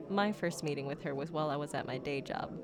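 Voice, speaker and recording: A woman's voice: low voice, natural voice. A woman playing a shy, geeky young silver fox on a blind date, speaking politely and hesitantly in an ASMR roleplay. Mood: flustered, evasive. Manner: awkward, flustered, hesitant, politely formal. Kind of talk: ASMR roleplay, one-sided conversational acting.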